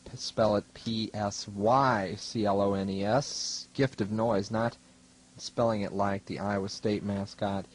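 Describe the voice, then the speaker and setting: A man's voice: on the low side, fairly even intonation, measured pace. A young man working as a student DJ, talking casually between songs on college radio in a wry, rambling, self-deprecating way. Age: young adult. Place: radio studio.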